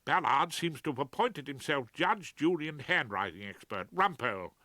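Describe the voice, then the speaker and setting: Man playing a panicky, high-swooping aggrieved barrister. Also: lively intonation, normal speed, sharp tone, no echo. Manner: plaintive, indignant, horrified. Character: anxious, aggrieved middle-aged male barrister, panicky and pleading. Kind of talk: audiobook character voice.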